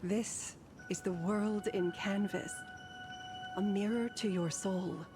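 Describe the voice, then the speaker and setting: A serene, enigmatic painter-guide from a fantasy game. Her medium-pitched voice moves slowly, with formal, poetic composure. Music swells clearly behind her words. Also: fairly even intonation. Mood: gentle, poetic. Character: serene, enigmatic female painter guiding travelers on a ferry. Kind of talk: dramatic video game voice acting.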